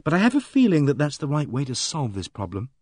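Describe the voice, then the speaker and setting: A man voicing a young woman who speaks softly and earnestly. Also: fairly high pitch, wildly swooping pitch, quick pace, dry recording, clean studio sound.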